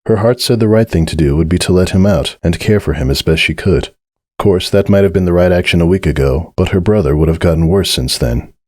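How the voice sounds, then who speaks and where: Deep-voiced man narrating a solemn story. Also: darkish tone, no echo, clean studio sound. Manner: measured, solemn, deliberate phrasing. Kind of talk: dramatic scripted story narration.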